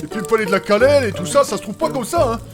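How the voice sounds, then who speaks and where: A man's voice: very high voice, lively intonation, racing. Man acting touchy, wisecracking eccentric chemist over music. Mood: insistent. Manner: blunt, slangy, wisecracking. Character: eccentric male chemist inventor, touchy and pedantic. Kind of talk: comedic audio drama acting. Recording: music behind the voice.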